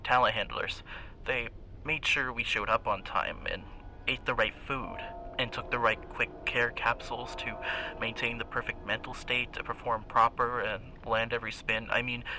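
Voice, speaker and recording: An actor playing a paranoid, haunted former child star confessing haltingly in an interview, his voice modulated to mask it. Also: mid-range voice, sharp tone, a little hiss or hum, poor audio. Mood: stressed, confiding. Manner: hesitant, uneasy, halting confession. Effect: voice-masking modulation. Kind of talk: acted confessional radio interview. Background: music.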